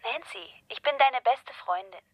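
High, nosy teenage girl. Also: normal speed, husky, dry recording, poor audio. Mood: prying. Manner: prying, lively. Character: nosy, teasing teenage girl, best friend. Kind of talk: radio drama dialogue, phone chat.

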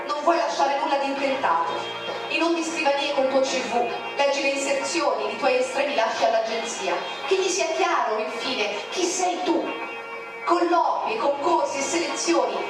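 Woman reciting poetry in a hall. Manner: declamatory, with sharp swings in pitch. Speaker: poetry reader. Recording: very echoey, distant, with music. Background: music.

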